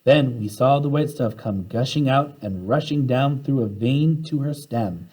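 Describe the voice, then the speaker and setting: A man reading a children's storybook aloud in an animated way, acting out the dialogue as he goes. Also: medium pitch, a little slow, husky, rough recording. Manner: animated, acts out the characters' dialogue.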